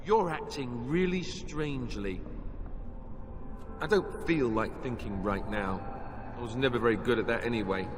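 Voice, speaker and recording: An actor playing a naive, cheerful young warrior with an affable manner in a video game. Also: male voice, mid-range voice, moderate pace, long pauses, long reverb tail, close to an everyday voice. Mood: dismissive. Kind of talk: naturalistic video game acting.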